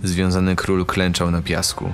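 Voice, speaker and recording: A man's voice: deep voice, monotone, a little slow, creaky. A man narrating a fantasy audio drama in a dramatic, scripted style.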